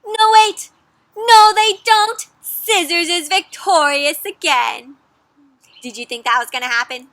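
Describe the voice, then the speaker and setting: A young woman reading a picture book aloud to children in a lively way, gasping and asking her listeners questions. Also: very high voice, dry recording. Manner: expressive, asks children questions, gasps and dramatic pauses. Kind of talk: animated children's read-aloud.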